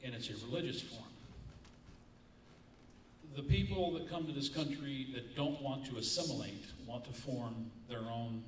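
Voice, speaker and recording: A man, moderating a candidate forum and posing a question in a measured, deliberate way. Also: mid-range voice, slight background noise. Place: community forum room. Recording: echoey room from far off.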